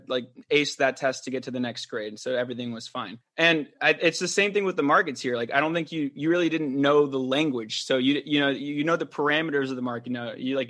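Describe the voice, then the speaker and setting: A man's voice: on the high side, a bit bright. A young man co-hosting a podcast, whose first language is French, talking casually in a rambling way with lots of fillers and restarts. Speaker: podcast co-host.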